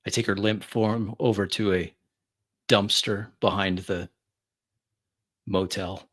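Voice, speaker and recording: Low-voiced man narrating dramatic roleplay. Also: steady tone, fairly bright tone. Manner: deliberate, broken phrasing with long pauses. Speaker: tabletop roleplay player. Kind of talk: dramatic improvised first-person narration.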